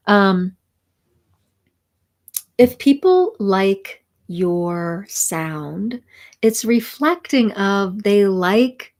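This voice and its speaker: A woman hosting a spiritual sound healing livestream, talking slowly and soothingly while reading viewer comments and laughing softly. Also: mid-range voice. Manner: slow, soothing, reflective, with soft laughs and restarts.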